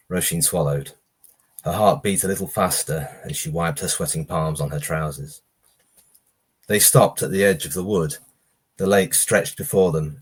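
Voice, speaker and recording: Deep, husky-voiced man reading horror fiction. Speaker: author reading own short story.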